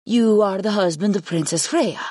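A woman's voice: medium pitch, moderate pace, warm tone, breathy edge, no echo, studio quality, natural voice. A woman voicing a wary, earnest young female hawk shapeshifter in an audiobook, with careful formal phrasing. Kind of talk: audiobook character dialogue.

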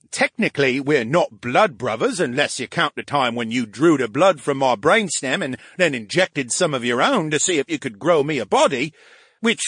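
A man voicing an excitable, talkative male brain living in a tank, dramatic and whiny. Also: fairly high pitch, husky, dry recording, studio quality, pitched above the performer's own voice, full character voice. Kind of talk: audiobook character voice.

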